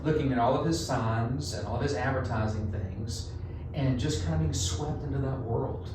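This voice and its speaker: A middle-aged man with a North Carolina Southern accent, telling stories as a guest in a relaxed interview with a folksy, animated drawl. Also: mid-range voice, normal speed. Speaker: marketing agency co-founder, guest. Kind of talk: conversational podcast interview. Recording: roomy echo, distant mic, noisy.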